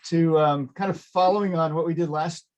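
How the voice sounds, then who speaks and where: A man runs a community advisory meeting over a video call, his voice sitting a touch high for a man. He talks haltingly, with many fillers and restarts. The sound is ordinary video call audio. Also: steady tone, darkish tone, muffled recording. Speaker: meeting chair. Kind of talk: virtual meeting chairing.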